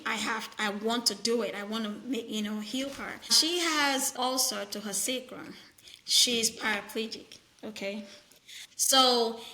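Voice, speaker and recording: Middle-aged nurse from Nigeria, high bright voice, firm and lively storyteller. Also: female voice. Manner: animated, firm, storytelling with quoted patients. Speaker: nurse practitioner, guest. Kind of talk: conversational interview.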